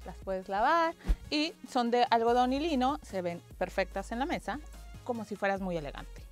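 A woman in her thirties hosting a playful kitchen gadget review, chatting to camera and tossing in sassy asides. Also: fairly high pitch. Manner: chatty, sassy, asks herself questions and answers in asides. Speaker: lifestyle show host. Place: bright indoor studio set with kitchen gadgets on a table. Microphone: lapel mic. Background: background music.

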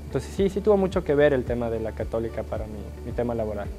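A young man from Guayaquil who speaks with an Ecuadorian highland accent, giving an earnest, upbeat testimonial in a small studio, often restarting his phrases. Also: animated, fast, warm tone, soft background music. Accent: Ecuadorian highland (serrano). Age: young adult. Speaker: alumnus interviewee. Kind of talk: sit-down testimonial interview.

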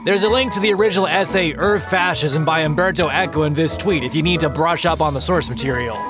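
A man's voice: on the high side, quick pace, music in the background. A man presenting a scripted introduction to a comic dub.

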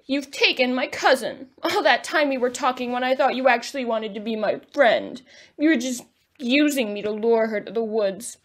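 A woman's voice: on the high side, normal speed, lightly acted. A woman playing a fairy mimicking an accusing fifteen-year-old, earnest and declamatory in an audio drama. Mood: accusing, defiant.

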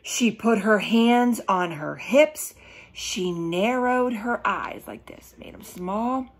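A middle-aged woman reading a children's book aloud, animated and emphatic, breaking off to question her young listeners. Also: mid-range voice, takes it slowly. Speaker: storybook reader for children. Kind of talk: children's read-aloud with asides. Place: small room at home, indoors.

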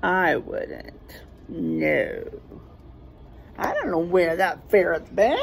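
A middle-aged woman reading a rhyming picture book aloud in a teacherly way, pausing to point out word pairs. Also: fairly low pitch, very animated, creaky, slight background noise. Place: small classroom, indoors.

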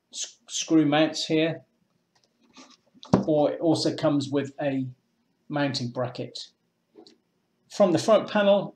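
A man's voice: on the high side, a little mellow, a little hiss or hum. Echoey middle-aged man demonstrating products. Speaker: presenter of a boating shop's product video. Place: small indoor room with painted block walls. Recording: echoey small room.

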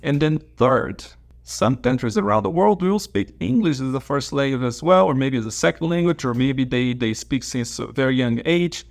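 A man's voice: mid-range voice, expressive, moderate pace, creaky. A man in his thirties from Brazil, a native Portuguese speaker, giving advice to camera as a dentist and educator, seated at a desk in a small office on a lapel mic, gesturing with open hands and repeating his main points.

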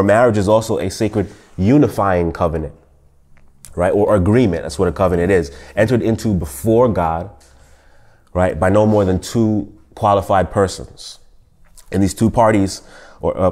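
A man in his thirties teaching a church Sunday school lesson, a little nasal from a cold, measured and fond of asking "right?". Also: sits low, a little mellow. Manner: measured, repeats "O Lord" and "right?". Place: church meeting room at a table.

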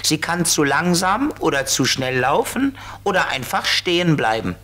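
A man's voice: fairly high pitch, sing-song, bright, forceful tone, exaggerated voice. A man playing a friendly, knowing flying companion of a little girl in a 1970s German children's radio play. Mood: matter-of-fact. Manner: measured, explanatory.

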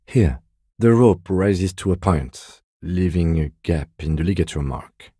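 A man playing a composed, meticulous police lieutenant detective in a video game, speaking in a precise, deadpan, politely reserved way. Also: deep voice, a little slow, slightly rough, no room sound, clean studio sound, exaggerated voice. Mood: clinical. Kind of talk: video game character dialogue.

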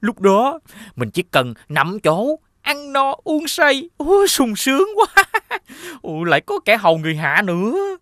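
A man voicing a greedy, boastful, middle-aged village traitor who blusters and stammers in an animated fairy tale. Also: extremely high pitch, unhurried, few pauses, no echo, studio quality, heavily acted. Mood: gloating, greedy. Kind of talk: exaggerated animated villain acting. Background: music.

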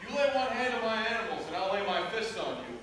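A young man on stage playing a defiant, soft-hearted animal keeper who answers back with sarcasm and indignation. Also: sits high, very animated, fast talker. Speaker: amateur stage actor. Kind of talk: loud school stage acting. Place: theatre stage, distant audience camera. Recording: echoey stage, recorded from far off, hiss.

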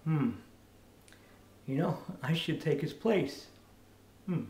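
A middle-aged man chatting casually to camera in a halting, hesitant way, in a room at home. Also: fairly high pitch, darkish tone. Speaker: amateur puppeteer. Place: indoors, small room at home. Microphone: camera mic at short distance. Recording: echoey room.

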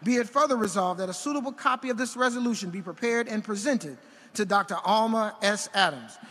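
A man reading a formal resolution from a podium microphone in a large indoor arena, measured and ceremonial, with occasional slips. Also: high voice, fairly bright tone. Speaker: university official presenting an honorary degree. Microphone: podium gooseneck microphone. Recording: long reverb of a big arena.

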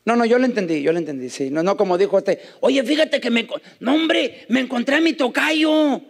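A man's voice: very high voice, animated, fairly quick, gravelly, long reverb tail. A man, preaching on a church stage and joking with the congregation. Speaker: guest preacher and singer. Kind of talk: sung worship then sermon. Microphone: handheld mic. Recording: loud band music behind. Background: band music during songs.

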